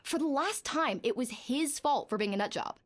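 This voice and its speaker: A woman's voice: high-pitched, animated, moderate pace. A woman playing a blunt, feisty young bathhouse worker in a video game, brash and impatient. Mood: exasperated.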